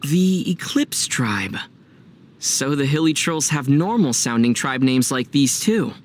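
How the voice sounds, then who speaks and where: A man's voice: mid-range voice, animated, slow, a little hiss or hum. A young man playing a youthful, easygoing traveler hero in a video game, wry and dryly teasing. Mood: calm, curious.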